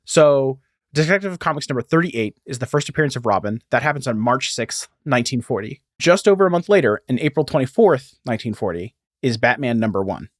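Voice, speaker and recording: Male history podcast host, mid-pitched, explanatory and conversational, given to asides, dry sound. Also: expressive, fairly quick, no echo.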